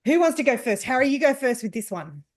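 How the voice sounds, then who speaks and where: Female podcast host, fairly high voice, casual conversational interviewer. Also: sing-song, quick pace.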